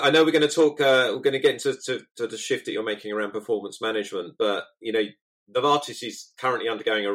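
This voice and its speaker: A man hosting an interview podcast, reading a measured scripted introduction and then asking his guest questions. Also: fairly low pitch, a bit bright. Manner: measured, list-like delivery with read-out ad copy. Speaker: podcast host.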